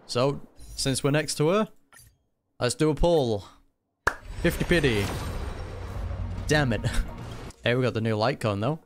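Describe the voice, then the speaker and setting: A man giving informal play-along commentary on a game, reacting out loud. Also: medium pitch, measured pace, pauses often. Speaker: gaming reaction streamer. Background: game music.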